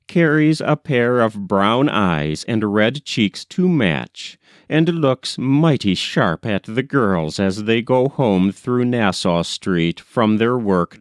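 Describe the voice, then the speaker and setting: Slow, expressive male audiobook narrator. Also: on the low side, no room sound, clean studio sound. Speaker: audiobook reader. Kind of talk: scripted literary narration.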